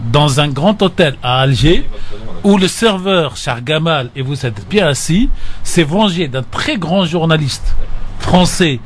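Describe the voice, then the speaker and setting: Slightly high-voiced male panelist. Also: lively intonation, measured pace. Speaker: radio debate panelist. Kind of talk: explanatory debate talk.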